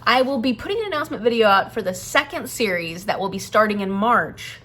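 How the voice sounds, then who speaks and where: A woman's voice: mid-range voice, lively intonation, brisk, a bit bright. A woman speaking casually and enthusiastically to viewers as the host of a book channel, making an announcement. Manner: enthusiastic, chatty.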